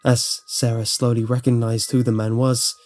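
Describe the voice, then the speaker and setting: Guy narrating creepypasta, mellow dark voice, soft music behind him. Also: mid-range voice, level tone, moderate pace. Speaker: horror story narrator. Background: faint music.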